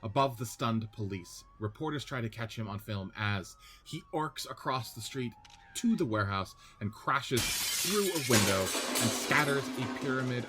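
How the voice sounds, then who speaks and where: A man's voice: on the low side, bright, forceful tone. A man narrating screenplay scene directions as a podcast host and reader. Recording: faint background noise.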